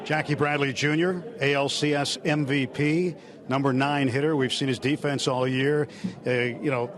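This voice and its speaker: A man, a radio baseball broadcaster, asking post-game interview questions in a smooth, practiced way, reeling off stats, in a hallway outside a clubhouse. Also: medium pitch, steady tone, moderate pace. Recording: noisy celebration crowd behind.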